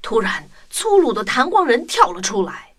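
A woman narrating a scripted children's story in an expressive storytelling manner. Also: fairly high pitch, moderate pace, breathy edge, faint music behind.

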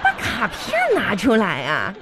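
A woman's voice: medium pitch, huge pitch swings, a little slow, a loud crowd, very noisy. A woman playing a touchy, coquettish middle-aged wife in a comedy radio drama, scolding and cutesy. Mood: bossy. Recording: music behind the voice. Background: background music.

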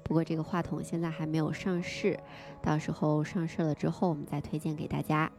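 With music clearly playing behind her, a woman with a very low voice chats casually as a podcast co-host. Her delivery is conversational and openly enthusiastic. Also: little pitch movement, brisk.

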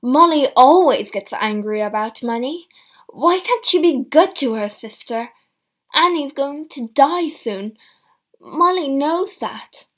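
A woman's voice: sits high, very animated, extremely slow, a bit bright, husky, exaggerated voice. A woman reading aloud, voicing an older man who brushes off a relative's anger in a firm, dismissive way.

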